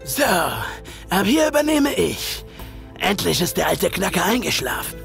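An actor playing a sinister, gloating secret killer in an audio drama monologue, smug and scornful. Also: male voice, high-pitched, big pitch swings, measured pace, vocal fry, music in the background, exaggerated voice.

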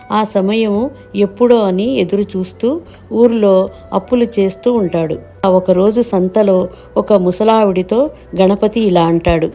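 A woman narrates a children's tale from a script. Her voice is medium in pitch and dark, soft-edged in tone, with music playing behind.

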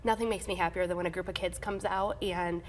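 A woman's voice: lowish voice, steady tone, brisk. A woman in her thirties speaks as an airport manager in a TV studio interview. She talks eagerly and at length, with frequent fillers and restarts. A lapel mic keeps her close, over a faint background hum. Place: TV news studio.

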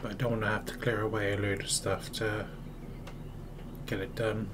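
A man's voice: lowish voice, little pitch movement, moderate pace. A man with a sore, slightly scratchy throat giving casual gameplay commentary, thinking aloud without hurry. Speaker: gaming video host. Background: music.

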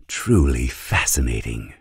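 A man playing a scheming, scholarly villain in a fantasy game, coolly amused, with a gravelly, husky voice and a slow, musing delivery. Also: very deep voice, dry recording, clean studio sound, exaggerated voice. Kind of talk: fantasy video game voice acting.

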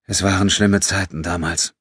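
A man voicing a guilt-ridden, bitter father in an audiobook, speaking haltingly with a low, trembling voice full of grief. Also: steady tone, fairly bright tone, breathy edge, no echo, studio quality. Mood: heavy, grieving.

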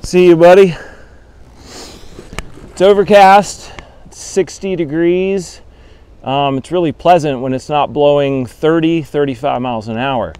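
A man in his thirties giving a casual fishing report from a raft on a windy river, talking in a relaxed, easygoing way with plenty of ums, recorded by a camera a few feet away. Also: a little high, measured pace, long pauses, smooth. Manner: relaxed and conversational, many ums. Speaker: fly shop guide giving a fishing report. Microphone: camera at the bow, a few feet away.

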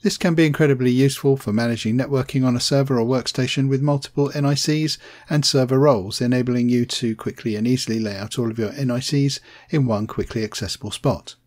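A man reading a scripted software tutorial voice-over, plain and steady, spelling out commands slowly. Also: medium pitch. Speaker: tech tutorial narrator.

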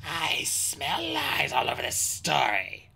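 A woman's voice: mid-range voice, big pitch swings, moderate pace, bright, forceful tone, voice pushed up, exaggerated voice. A woman voicing a hostile, suspicious male dragon who snarls accusations in a storybook reading. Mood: accusing.

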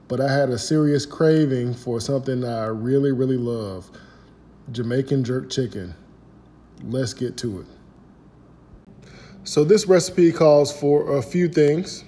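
A middle-aged man with an American accent talks in a fairly low-pitched, easygoing way. He walks through things step by step like an informal home cook hosting his own vlog. His voice rings with long reverb, as if caught on a phone in a hard-surfaced kitchen. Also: mellow. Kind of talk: casual recipe walkthrough to camera. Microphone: phone at arm's length.

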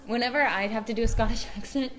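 A young woman voice actor chatting on a convention panel, chatty, excitable and giggly. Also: a little high, quick pace. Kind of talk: casual panel chat.

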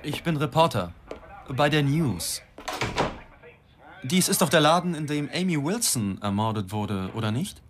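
An actor playing a brisk, evasive newspaper reporter, casual and hurried, in radio drama dialogue. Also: male voice, on the high side, very animated, background noise. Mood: inquisitive.

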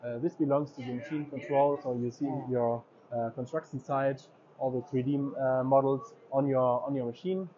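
A man's voice: mid-range voice, steady tone, normal speed, a crowd in the background. Man touring a trade fair booth. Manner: hesitant, many ums and uhs. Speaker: equipment dealer representative. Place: large indoor exhibition hall. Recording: slightly dull audio, faint hall noise.

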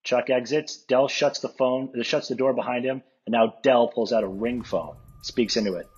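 Very husky man reading stage directions. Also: medium pitch, normal speed, darkish tone. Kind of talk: scripted table read narration. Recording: dull lo-fi audio, music behind.

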